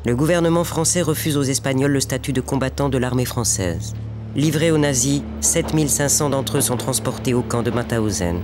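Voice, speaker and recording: A man delivering scripted documentary narration in a measured, solemn way. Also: mid-range voice. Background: music underneath.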